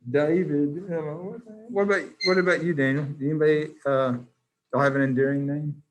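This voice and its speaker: A man's voice: a little high, fairly even intonation, fairly quick, low-quality recording. Joking, teasing male teacher. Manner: joking, teasing, many restarts. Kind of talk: casual class chat on a video call. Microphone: video call.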